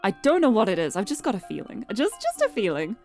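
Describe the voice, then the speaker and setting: A woman's voice: mid-range voice, wildly swooping pitch, fast, a little mellow. A woman streamer reading visual novel narration aloud, expressively and dramatically, with casual asides to viewers. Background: music.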